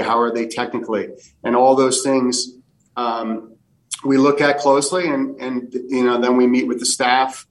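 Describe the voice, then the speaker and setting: A middle-aged American man answers interview questions at a relaxed, wandering pace, stacking fillers and restarting his sentences. His voice sits at an ordinary pitch for a man. He sounds a little set back from the microphone. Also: creaky, distant mic, poor audio. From United States. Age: 50s. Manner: rambling with many fillers and restarts. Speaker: soccer technical director.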